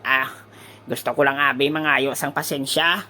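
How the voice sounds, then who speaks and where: A man's voice: mid-range voice, fairly even intonation, moderate pace, sharp tone. A man playing a sheepish, apologetic Filipino character in a comic audio drama, speaking hesitantly.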